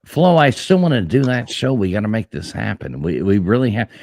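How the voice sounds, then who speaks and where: Deep, lively-voiced man on a panel. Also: fairly quick, vocal fry, no echo, clean studio sound. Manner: emphatic, swearing. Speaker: panel guest. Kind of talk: casual chat.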